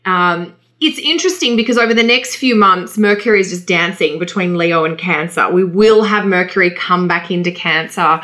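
A woman with an Australian accent talks informally as a show co-host. Her tone is very bright and crisp-edged, though the pitch is slightly on the low side. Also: moderate pace, few pauses. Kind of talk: casual discussion.

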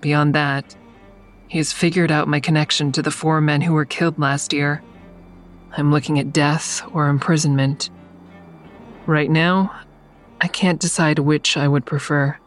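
A woman's voice: sits low, little pitch movement, a little slow, pauses often, faint music behind. An actress in an audio drama playing an exhausted, defiant woman who tells of her ordeal in a grim, blunt way. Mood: tense, bleak. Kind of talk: first-person dramatic narration in audio drama.

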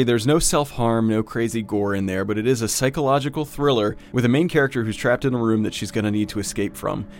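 A man speaking as a podcast host, delivering a casual promotional announcement straight to listeners. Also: fairly low pitch.

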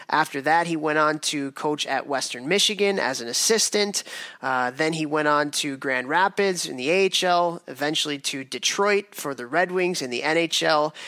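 A man's voice: a little high, sharp tone, no echo. A man hosting a hockey podcast, upbeat and laughing often in casual chat. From went to Cornell. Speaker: podcast host.

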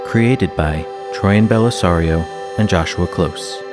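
Very deep, slow male announcer. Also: over music. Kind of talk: scripted opening credits narration.

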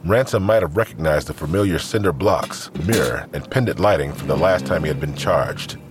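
A man's voice: very deep voice, lively intonation, brisk. A man narrating a scripted crime audio drama in the role of storyteller.

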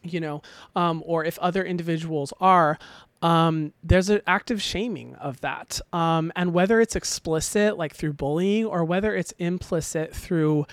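A young man with a high voice, hosting a podcast and giving a casual, animated opinion monologue full of ums and little laughs. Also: unhurried, clear, resonant tone, no echo. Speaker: podcast host.

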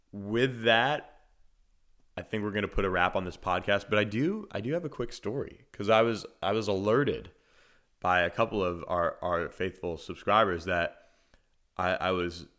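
Man, very deep voice, forceful tone, sports podcast host, chatty analysis, restarts phrases. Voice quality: naturally deep voice. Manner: conversational, analytical, frequent verbal restarts. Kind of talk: solo podcast commentary and storytelling.

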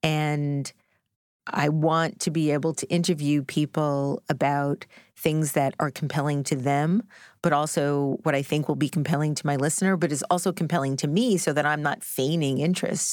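A woman speaking reflectively in an interview, articulate and measured, with emphatic phrasing. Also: low-pitched, darkish tone, no echo, clean studio sound. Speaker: podcast interviewer, guest on this show.

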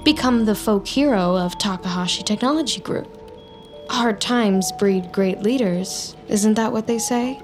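A woman playing a scheming, sardonic office climber in an audio drama, with dry and deliberate delivery. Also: mid-range voice, lightly acted. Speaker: protagonist narrating inner thoughts. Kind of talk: audio fiction acting with inner monologue. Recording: music under the voice.

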